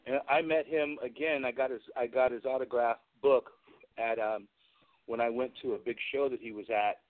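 A man's voice: mid-range voice, fairly even intonation, moderate pace. A man chatting casually as an interview guest, telling rambling, amused anecdotes about his work as a prop maker. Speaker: guest, movie special effects and prop maker. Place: remote call into a radio show. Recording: lo-fi, phone-like internet radio call.

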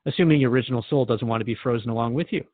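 A man's voice: medium pitch, very fast, no room sound, phone-quality sound. A man hosting a scripted radio drama interview, asking frank, questioning things of his guest.

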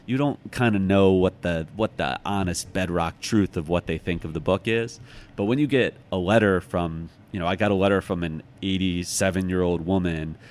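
A man with an American accent and a low-pitched voice talks in a reflective, conversational way. He sounds like an author in an interview, thoughtful and often searching for the right phrase. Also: normal speed. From United States, Chicago.

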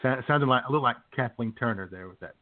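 Male co-host for casual comic banter, wry delivery, average pitch, thin telephone-quality sound. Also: quick pace. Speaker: radio show co-host. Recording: tinny phone line.

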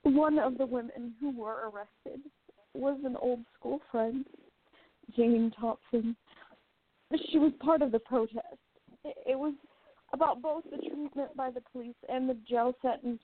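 A woman plays a 1920s woman columnist in a radio drama. Her voice is on the high side, young and earnest, a little theatrical. It sounds thin, as if down a phone line. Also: steady tone, a little slow. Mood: grieving. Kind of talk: radio play acting.

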